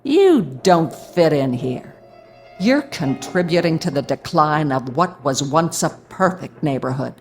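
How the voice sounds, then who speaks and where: Scornful, domineering wife. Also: female voice, sits low, animated, unhurried, soft background music. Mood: mocking, menacing. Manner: scornful, sarcastic, commanding. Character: domineering, bigoted, nagging wife with menacing contempt. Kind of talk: radio drama acting.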